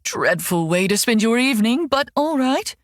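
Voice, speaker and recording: A fussing, genteel mother, as a woman narrator puts her on, speaks high and sweet, full of doting concern. Her pitch sits well above the reader's own narrating voice. It is an audiobook figure in a dry, close recording. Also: very animated, normal speed, no room sound.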